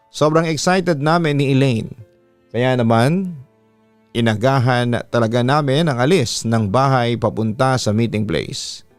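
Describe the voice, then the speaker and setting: A man with a Filipino accent narrating a scripted first-person story in a measured, dramatic radio style, drawing out the ends of phrases. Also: mid-range voice, dry recording. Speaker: radio announcer hosting a horror story show, reading listeners' letters. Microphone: close studio microphone. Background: soft background music.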